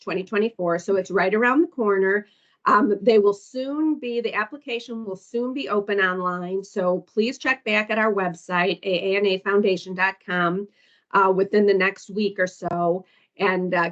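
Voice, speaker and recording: A woman on a conference call walking through slides for an orientation, hesitant and full of ums as she reads down lists. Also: mid-range voice. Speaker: foundation staff presenter. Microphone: conference call audio. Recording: dull lo-fi call recording.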